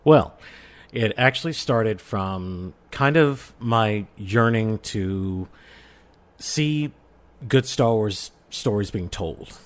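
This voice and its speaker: A man's voice: fairly low pitch, lively intonation, slow pace. A man chatting casually as a guest in an interview, telling stories with enthusiasm. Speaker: podcast guest, amateur voice actor and audio drama creator.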